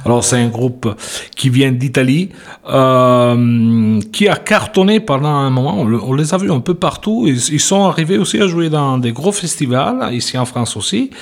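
A man from Italy with an Italian accent, introducing a track as a music show host, talking casually with halting restarts and fillers. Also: mid-range voice. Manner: halting, repeats words, many fillers.

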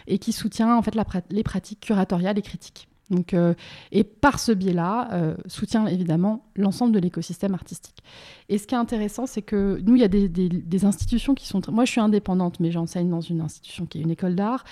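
Mellow-voiced woman, searching as she explains. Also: mid-range voice. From Bordeaux, France. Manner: hesitant, searching, often repeats words as thoughts form. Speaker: interviewed independent curator and art school teacher. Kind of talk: informal radio interview, explaining a project.

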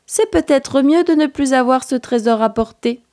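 A woman voicing a serene, philosophical male bear in a storybook, musing in a measured, drawn-out way. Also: high-pitched, warm tone, pitched above the performer's own voice, natural voice.

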